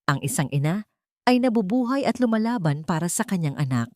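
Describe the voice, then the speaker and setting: Female storyteller, low voice, solemn scripted narration, close dry sound. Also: expressive, a little fast, warm tone, dry recording.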